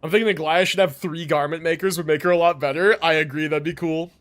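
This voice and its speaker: A man's voice: on the high side, fairly even intonation, fast, fairly bright tone. A man doing casual live game commentary, thinking aloud in slangy, sweary language. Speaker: game livestreamer. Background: game music.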